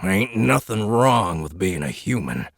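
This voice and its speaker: Feisty nonagenarian grandpa played by a man, folksy and blunt, lively pitch, dry recording. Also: unhurried, vocal fry, dry recording, full character voice. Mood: indignant. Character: feisty, folksy ninety-five-year-old grandpa war veteran. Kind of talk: audiobook character acting.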